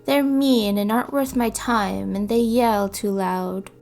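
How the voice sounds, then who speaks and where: A woman's voice: medium pitch, steady tone, a little slow, gravelly, darkish tone, faint music behind. A woman voicing an earnest, lonely and proud little boy of about six, eager and a bit haughty, in a storybook reading.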